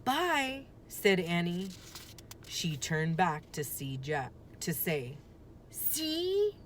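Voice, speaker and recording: A woman's voice: low-pitched, takes it slowly. A woman reading a children's storybook aloud as a teacher would, narrating the story. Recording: faint background noise.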